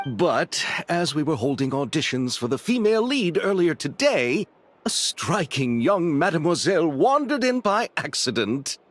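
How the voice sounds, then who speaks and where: A man's voice: on the high side, big pitch swings, normal speed. A man playing a romantic, earnest film director in a video game, theatrical and effusive. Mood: cheerful. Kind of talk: animated video game voice acting. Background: music.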